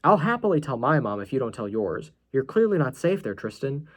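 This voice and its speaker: A man's voice: mid-range voice, sing-song, brisk, fairly bright tone. A concerned storybook schoolgirl, read by a man, frank and supportive. The delivery is earnest and advising.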